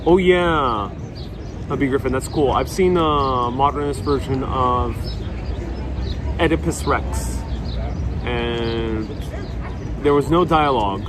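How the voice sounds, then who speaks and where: Relaxed man live-streaming from a city street. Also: mid-range voice. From Puerto Rican family. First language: Spanish. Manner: relaxed, reads out viewer comments and answers. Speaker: live-stream host answering chat. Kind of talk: casual live-stream chat. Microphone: wireless clip-on mic. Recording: city street noise behind.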